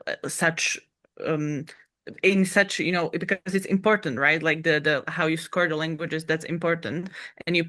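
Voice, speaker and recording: A man's voice: on the high side, fairly even intonation, moderate pace, sharp tone. A man who is a Slovak native speaker, asking a question over a video call while searching for words and restarting. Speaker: audience member asking a question.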